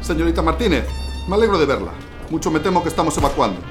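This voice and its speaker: A man's voice: fairly high pitch, sing-song, racing. An actor playing a curt, commanding male lieutenant giving urgent orders in a radio drama. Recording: music bed behind.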